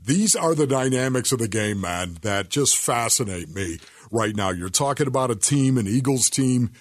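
Husky, emphatic man on sports radio. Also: mid-range voice, lively intonation, normal speed, no room sound, clean studio sound. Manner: folksy, exclamatory, emphatic repetition. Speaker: sports talk radio co-host. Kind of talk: football analysis talk.

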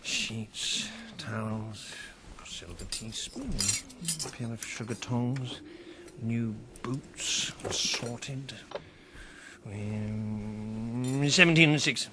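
Sly, animated Victorian pawnbroker. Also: male voice, mid-range voice, extremely slow, long pauses, background noise. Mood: haggling, grudging. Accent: British. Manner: chuckling, haggling, wry. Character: sly, cackling, tight-fisted Victorian pawnbroker and gossiping businessman. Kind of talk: radio drama acting.

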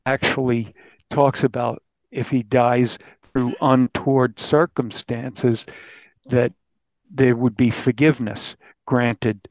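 Male journalist, conversational and reflective, creaky edge, dry lo-fi recording. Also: medium pitch, steady tone, takes it slowly, no room sound. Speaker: journalist being interviewed. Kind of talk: conversational interview.

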